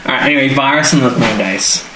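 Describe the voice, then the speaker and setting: A male podcast co-host talks in a loose, rambling, conversational way, often leaving thoughts unfinished. His pitch sits in the middle. The recording has a noticeable room echo and some light background noise. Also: quick pace. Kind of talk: casual chat reviewing a TV serial.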